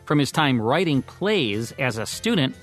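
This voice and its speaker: A man's voice: medium pitch, sing-song, unhurried, faint music behind. An American man co-hosting a podcast, reading scripted narration about radio history. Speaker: podcast co-host.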